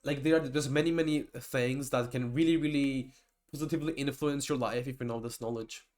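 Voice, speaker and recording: A man's voice: mid-range voice, steady tone, fairly quick, a little mellow. A young man, a native Georgian speaker, giving a rambling, casual lecture with many restarts and digressions. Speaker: typology lecturer.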